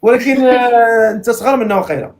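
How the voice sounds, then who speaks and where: A man's voice: extremely high pitch, brisk. A man with a Moroccan Arabic accent hosting a live matchmaking stream, teasing and joking with callers. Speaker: live matchmaking show host. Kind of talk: casual live-stream chat.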